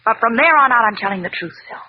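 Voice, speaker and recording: A woman's voice: on the high side, animated, fast talker, lightly acted. A woman acting a defiant, slippery gangster's girlfriend in dramatic, emphatic old radio style. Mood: earnest, defensive. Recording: muffled, noisy old radio recording.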